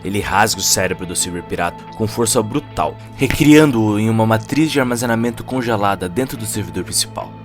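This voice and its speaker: A man's voice: lowish voice, lively intonation, brisk, hardly any pauses. A man with a Brazilian Portuguese accent giving dramatic scripted narration of a cyberpunk story. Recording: music under the voice.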